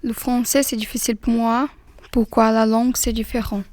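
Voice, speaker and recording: A woman's voice: fairly high pitch, flat delivery, normal speed, a little mellow, dry recording. A young woman in her late teens recites a testimony as a student, repeating short, simple sentences.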